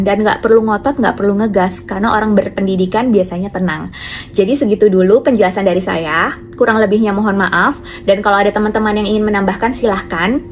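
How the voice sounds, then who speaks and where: A woman calmly explaining a topic point by point in a casual monologue. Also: medium pitch. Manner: calm, explanatory, counts points off one by one. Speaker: commentator explaining degree systems. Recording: faint music underneath.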